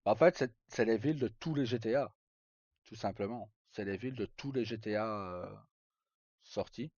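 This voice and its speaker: A man's voice: on the low side, normal speed, darkish tone. A man live-streaming, chatting casually to viewers and explaining things in an informal, hesitant, repetitive way. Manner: informal, hesitant, repeating himself. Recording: roomy, dull lo-fi stream microphone.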